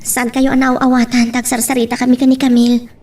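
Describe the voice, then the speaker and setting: A woman's voice: on the high side, little pitch movement, a little fast, darkish tone, a little hiss or hum, lightly acted. A woman playing a contrite young granddaughter who confides in her elders, in an Ilocano audio drama. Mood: apologetic. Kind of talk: Ilocano radio-style drama acting.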